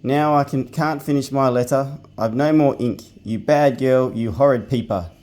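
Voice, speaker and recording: A hot-tempered little male pixie bursts out in indignant shouts, his voice pushed up and loud, as a man reading a storybook puts him on. The tone stays dark and mellow underneath. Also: mid-range voice, measured pace, voice pushed up, lightly acted. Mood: furious. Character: angry little male pixie, quick to rage.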